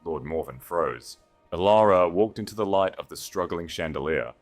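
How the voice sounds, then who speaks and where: Very deep-voiced man narrating a dramatic story. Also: expressive, normal speed, soft background music. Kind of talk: scripted dramatic romance audiobook narration.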